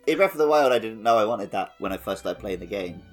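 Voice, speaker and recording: Male podcast co-host, lowish voice, relaxed game discussion over faint music. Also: quick pace. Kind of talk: casual video game discussion.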